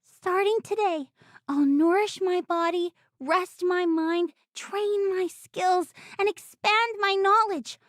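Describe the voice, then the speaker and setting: Very high-voiced plucky child captain. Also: female voice, slow pace, a bit bright, no echo, clean studio sound, exaggerated voice. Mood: earnest, resolute. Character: plucky bereaved child pirate captain, earnest and determined. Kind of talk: anime game voice acting.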